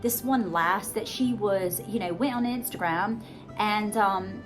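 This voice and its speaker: A woman's voice: mid-range voice, a little slow, faint music behind, low-quality recording. A woman from the United States with an adopted British accent, speaking in a rambling, emphatic, conversational way as she gives a casual self-help monologue. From United States, born in Georgia. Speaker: vlogger, self-help coach.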